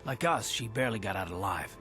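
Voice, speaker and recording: A man's voice: fairly low pitch, animated, fast talker, pushed and bright, faint music behind. An actor playing a resolute, weary male Republic soldier, speaking earnestly in a dramatic video game style, explaining with some urgency. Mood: earnest, determined.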